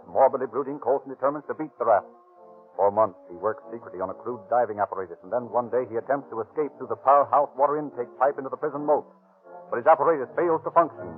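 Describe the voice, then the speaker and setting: A man with an American accent reading scripted narration as a 1930s radio announcer, on a tinny vintage recording. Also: medium pitch.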